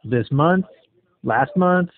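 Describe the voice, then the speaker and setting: A man's voice: fairly high pitch, expressive, takes it slowly. A man in a phone conversation, blunt and skeptical, questioning the caller as he strings them along. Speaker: call recipient stringing scam callers along. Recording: tinny narrowband phone line.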